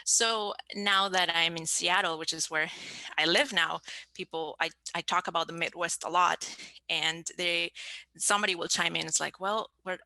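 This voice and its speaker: Gravelly-voiced woman scientist. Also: fairly low pitch, steady tone, bright, forceful tone, dry recording, poor audio. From Peru. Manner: halting, with many fillers and restarts. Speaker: scientist presenter. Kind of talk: online science talk.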